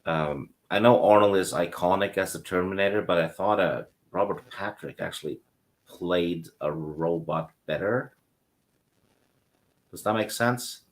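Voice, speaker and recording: A man talking as a guest in a casual movie chat, hesitant with ums and pauses. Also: low voice.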